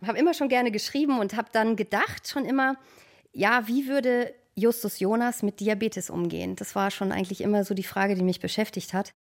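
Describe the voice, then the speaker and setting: Woman fan in her 30s-40s chatting in an interview, creaky voice, really heartfelt. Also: mid-range voice, fairly quick. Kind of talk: personal interview storytelling.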